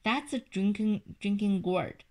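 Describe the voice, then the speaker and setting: Mom reading a cocky know-it-all little kid, slowish. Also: female voice, medium pitch, darkish tone, lightly acted. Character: bold, knowing little boy.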